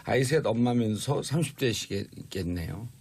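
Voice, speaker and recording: A man's voice: mid-range voice, husky. A man in his twenties hosting a casual political talk show, joking and reading out listener texts. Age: 20s. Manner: quick, animated, joking, reads listener texts. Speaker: radio show host.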